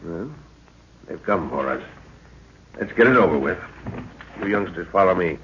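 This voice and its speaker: An actor playing a thoughtful middle-aged professor who leads an expedition, speaking in a measured, hesitant way in a 1930s radio drama. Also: male voice, deep voice, lively intonation, a bit bright, a little hiss or hum. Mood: calm, resolute. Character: scholarly middle-aged male professor, calm leader. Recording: dull lo-fi old radio recording.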